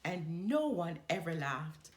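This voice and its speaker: Slow, animated woman reading a storybook. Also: on the low side, a little hiss or hum. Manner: animated, deliberate storybook delivery. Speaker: storyteller reading a children's book aloud. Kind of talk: expressive read-aloud narration.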